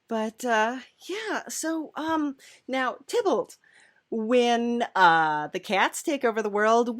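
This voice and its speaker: A woman hosting a playful, improvised comedy interview in a halting, giggly, chatty way. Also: medium pitch, no echo, clean studio sound. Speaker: podcast host.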